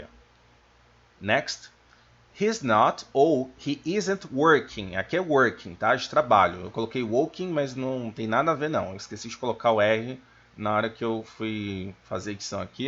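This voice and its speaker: A man with a Brazilian accent giving an informal language lesson as a teacher, explaining things and slowing down to enunciate his examples. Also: lowish voice, fairly bright tone. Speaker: English teacher. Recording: dull, lo-fi microphone sound.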